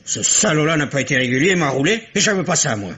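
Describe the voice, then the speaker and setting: A man's voice: fairly high pitch, animated, quick pace, fairly bright tone, husky. An actor playing a sly, resentful fisherman and petty smuggler in a 1950s radio drama, talking bluntly.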